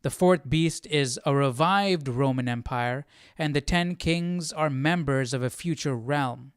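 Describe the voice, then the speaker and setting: A man's voice: medium pitch, a little slow, clear, resonant tone, dry recording, clean studio sound. A man hosting a livestream Bible study, talking informally and thinking aloud. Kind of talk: casual livestream chat and study commentary.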